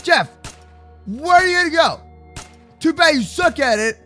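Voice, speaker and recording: A young adult man improvising kids' Minecraft roleplay commentary in an excitable, slangy way, often repeating his words. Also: very high-pitched, a little slow, soft background music. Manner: slangy, addresses partner as bro and man. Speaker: gaming video host and roleplayer.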